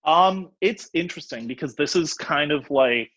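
Husky young man on a dull dry mic. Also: a little high, lively intonation, normal speed, a bit bright, no room sound. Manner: hesitant, filler-heavy, reads out his notes. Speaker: podcast co-host. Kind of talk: casual music chat.

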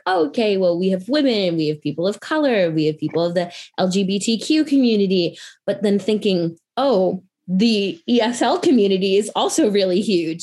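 A young woman with a Midwestern American accent talks in an upbeat, conversational way. She gets animated when telling stories and uses casual fillers. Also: lowish voice.

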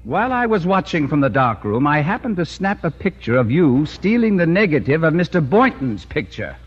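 A man's voice: on the high side, sing-song, normal speed, breathy edge. An actor playing a smug male photographer in a 1950s radio sitcom, gloating and chuckling, on a muffled old broadcast recording.

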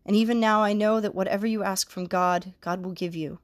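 A woman's voice: medium pitch, steady tone, a little fast, natural voice. A woman reading the lines of an earnest, grieving woman of faith in a plain manner. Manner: plainly read.

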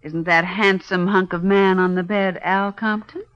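A woman's voice: medium pitch, fairly even intonation, a little slow, clean tone, close to an everyday voice. An actress playing a sly, sardonic gangster's woman in a 1950s radio crime drama, arch and flirtatious. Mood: teasing, arch. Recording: muffled 1950s radio recording.

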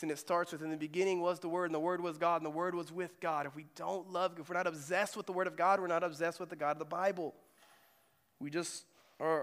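A male pastor with a slightly high voice preaches a sermon in a church sanctuary. He talks conversationally and keeps asking the congregation questions. His voice carries a long reverb from the hall. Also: fairly bright tone. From Germany.

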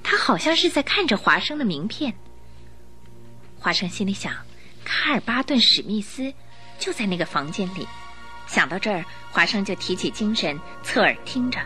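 Woman narrator with expressive, animated pitch. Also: moderate pace, faint music behind. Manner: storytelling, expressive. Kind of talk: scripted radio drama narration.

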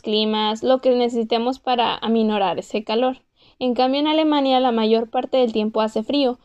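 Smooth-voiced Mexican woman, casual. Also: fairly high pitch, darkish tone, lo-fi sound. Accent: Mexican, Monterrey. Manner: conversational, frequent "pues" and "o sea" fillers. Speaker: former exchange student. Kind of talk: casual first-person account.

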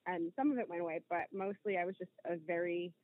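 An American woman in her thirties from Rhode Island talks casually and candidly as an interview guest, often pausing to find words. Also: on the low side, narrow, tinny audio. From Rhode Island, United States. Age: 30s. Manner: informal, candid, with hesitant pauses and hedging. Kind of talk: casual personal conversation.

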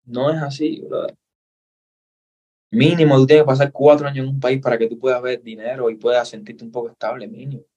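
A man's voice: mid-range voice, level tone, brisk, warm tone, husky, dull audio. Earnest Cuban man sharing his story. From Cuba. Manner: earnest, repeats himself, says brother. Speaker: guest. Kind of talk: casual interview.

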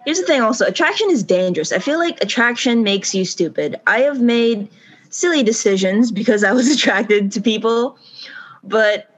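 A woman's voice: fairly high pitch, animated, moderate pace. A woman co-hosting a podcast, talking casually in a rambling, self-correcting way and laughing easily. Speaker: podcast co-host. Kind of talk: casual chat. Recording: dull lo-fi recording.

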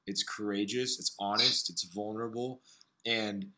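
A man with a smoky, raspy voice hosting an interview, talking earnestly and searchingly about music and his own struggles. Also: fairly low pitch, steady tone, measured pace, sharp tone. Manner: earnest, searching, piles up phrases. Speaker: podcast host. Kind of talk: reflective interview talk.

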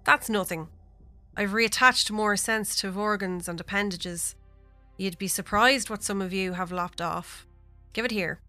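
A woman's voice: medium pitch, measured pace, bright, forceful tone, no echo, faint music behind. A woman voicing a matter-of-fact, unflappable male healer god. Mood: brisk.

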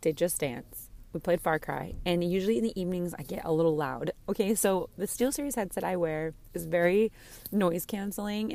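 A slightly hoarse woman chatting candidly and casually as a podcast host, rambling and gushing, easily distracted. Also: lowish voice, a little mellow, dry recording. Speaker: podcast host and game streamer. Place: inside a parked car.

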